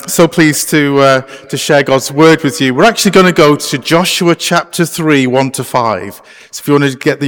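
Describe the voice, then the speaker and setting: A man's voice: a little high, a bit bright, big-room sound. A middle-aged man from England with an English accent, preaching a conversational sermon with many fillers. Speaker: pastor. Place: church sanctuary.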